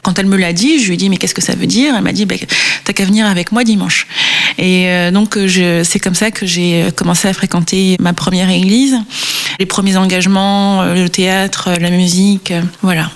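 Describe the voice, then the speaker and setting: A woman in her thirties, a guest on an interview show, telling her faith story in a reflective, hesitant way with many pauses. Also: fairly low pitch, steady tone. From France (Marseille, Réunion). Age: 30s. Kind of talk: personal testimony interview.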